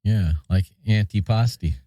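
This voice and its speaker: A man's voice: lowish voice, measured pace, dark tone, no echo. A man co-hosting a podcast, bantering casually and adding short replies. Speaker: podcast co-host.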